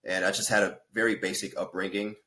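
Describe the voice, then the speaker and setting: A man's voice: fairly low pitch, little pitch movement, quick pace, sharp tone. A man in his thirties, born in Peru and raised in the States, telling his story earnestly in a casual podcast interview, with fillers and self-corrections. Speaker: guest, fitness and life coach.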